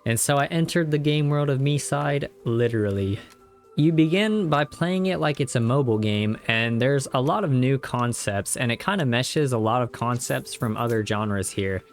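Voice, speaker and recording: A man's voice: mid-range voice, animated, moderate pace, soft background music. Man narrating a game recap. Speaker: video game reviewer. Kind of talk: casual scripted gameplay recap narration.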